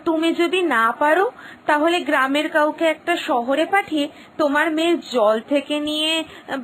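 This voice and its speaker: A woman's voice: high voice, a bit bright, a little hiss or hum, low-quality recording. A woman voicing a gossipy know-it-all village wife. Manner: chatty, gossipy, advising. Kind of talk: cartoon voice acting.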